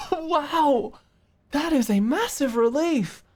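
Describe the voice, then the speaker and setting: A woman playing an earnest, caring office manager in an intimate ASMR roleplay, speaking haltingly and with open emotion. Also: high-pitched. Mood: flustered, relieved. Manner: halting, sighing, emotionally expressive.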